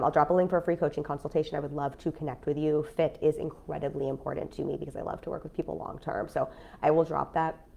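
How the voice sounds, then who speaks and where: A business coach with a cold, talking casually on a live stream in a nasal, stuffy voice with lots of fillers. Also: female voice, low voice, vocal fry, warm tone. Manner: chatty, frank, many fillers. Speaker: business coach and podcast host.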